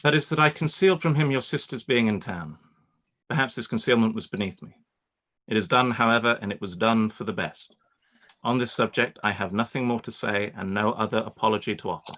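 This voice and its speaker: Brief: reserved aristocratic gent, read by a man, measured, low and clear. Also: a bit bright, natural voice. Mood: formal. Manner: formal, measured, stiff. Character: proud reserved young gentleman writing a letter. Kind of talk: live-read radio play.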